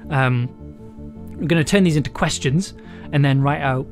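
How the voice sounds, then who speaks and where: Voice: medium pitch, moderate pace. Hesitant, chatty live streamer over faint guitar. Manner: hesitant, many fillers and restarts, laughs easily. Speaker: audio drama writer streaming live. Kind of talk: casual live-stream chat, reading prompts aloud.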